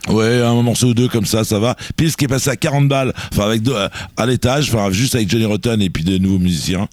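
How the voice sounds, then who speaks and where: A man with a low voice chats loosely through his picks on a studio radio show. He wanders, restarts and fills gaps with hesitations. The sound is dry, with no room around him. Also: fast talker, darkish tone, dry recording. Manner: rambling, hesitant, many fillers and restarts. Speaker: music radio show guest presenter. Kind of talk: casual radio chat about music history.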